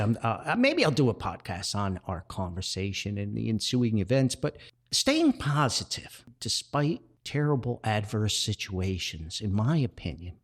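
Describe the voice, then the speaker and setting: Older man with very animated pitch swings. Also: husky. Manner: halting, repeats words and backtracks. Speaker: podcast host and author. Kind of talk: rambling personal reflective podcast monologue.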